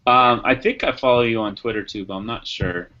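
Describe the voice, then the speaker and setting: A man's voice: mid-range voice, brisk, pushed and bright. A man chatting casually in an online group discussion, helpful and encouraging as he facilitates. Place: online video call. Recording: dull lo-fi video call.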